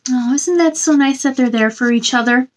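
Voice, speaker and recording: A woman in her thirties doing a children's read-aloud, with a gentle sing-song delivery and chatty asides addressed to young listeners. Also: high voice, normal speed. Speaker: children's storytime host reading a picture book aloud. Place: small indoor room.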